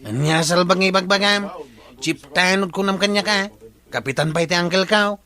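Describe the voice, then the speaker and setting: Man acting a bright-voiced, boastful village watchman. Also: sits high, moderate pace. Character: boastful, hot-tempered village watch chief. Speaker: actor in drama. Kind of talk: Ilocano radio-style drama acting.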